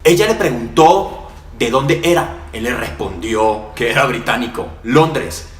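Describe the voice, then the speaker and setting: A man's voice: mid-range voice, animated, moderate pace, echoey room. A man giving brash, slangy voice-over commentary on a dating clip. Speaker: channel host commenting on the clip.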